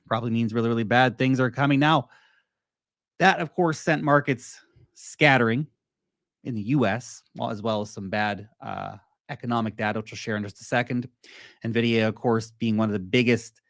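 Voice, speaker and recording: A man's voice: medium pitch, no echo, clean studio sound. A man hosting a casual livestream on crypto and stock markets, rambling through asides and answering his chat as he goes. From United States.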